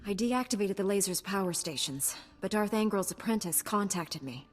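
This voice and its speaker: A woman plays a young Jedi knight in a sci-fi game. She speaks at a medium pitch, earnest and direct, with faint music underneath. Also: normal speed, close to an everyday voice. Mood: concerned.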